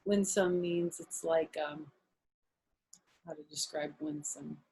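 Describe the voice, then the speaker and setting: Slow-paced older woman reading a storybook. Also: low-pitched, fairly even intonation, poor audio. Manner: explains words in gentle asides to young listeners. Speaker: storyteller grandmother. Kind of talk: expressive children's picture book read-aloud.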